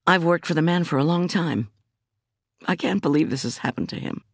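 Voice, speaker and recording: A woman's voice: sits low, a little fast, husky, dry recording, studio quality, voice pushed up. A woman voicing a shaken but composed, assertive female prosecutor in a thriller audiobook, speaking politely and with control. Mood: reassuring, shaken. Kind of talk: audiobook thriller character dialogue.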